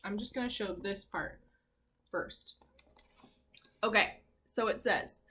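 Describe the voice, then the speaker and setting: A woman teacher reading a picture book aloud to children in an expressive, encouraging way, pausing to ask her listeners questions. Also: on the high side.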